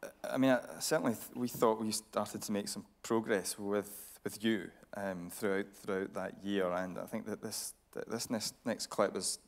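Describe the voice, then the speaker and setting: A man's voice: on the low side, fairly even intonation, moderate pace, vocal fry, few pauses. A man interviewing a guest on stage in a conversational style, as a TV reporter. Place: radio theatre stage.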